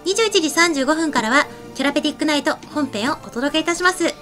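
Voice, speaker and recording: A woman's voice: high-pitched, fast talker, fairly bright tone. A young woman co-hosting an anime web show, speaking politely and moving between casual chat and announcements. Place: small indoor studio at a desk. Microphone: desk microphone. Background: faint music.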